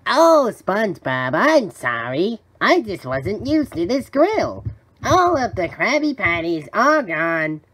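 A man's voice: high-pitched, sing-song, takes it slowly, fairly bright tone, full character voice. A man voicing a tiny scheming cartoon villain who is theatrical, gloating and falsely friendly. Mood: fake apologetic. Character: tiny scheming male villain posing as friendly magic spatula. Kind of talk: cartoon fan voice acting.

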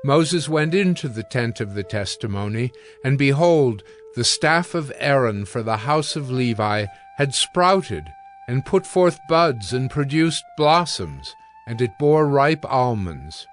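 A man with a medium-pitched voice narrates a dramatized scripture text. His delivery is measured and solemn, and music runs faintly underneath.

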